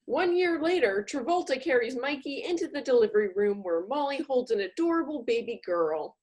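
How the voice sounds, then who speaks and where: A woman's voice: sits high, expressive, fairly quick, a little mellow. A woman comedian narrating scenes in a comedic radio play parody.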